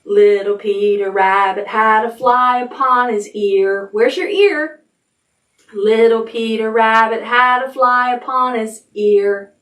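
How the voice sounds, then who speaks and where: A woman's voice: fairly high pitch, takes it slowly, fairly bright tone. An American woman leading storytime for toddlers, speaking in a sing-song read-aloud style with simple prompts for small children.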